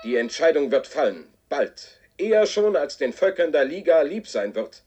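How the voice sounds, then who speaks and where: An actor playing a stern authoritarian radio announcer of a war regime, declaiming commands in a clipped official manner in a radio drama. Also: male voice, fairly high pitch, very animated, normal speed, music in the background. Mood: fierce, triumphant. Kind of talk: 1970s radio drama propaganda broadcast.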